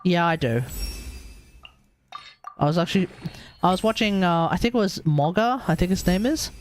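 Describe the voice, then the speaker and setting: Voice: mid-range voice, lively intonation, normal speed, slight background noise. An Australian streamer giving casual gaming commentary, muttering to themselves and mildly swearing as they go. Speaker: livestream host, gamer. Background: video game music.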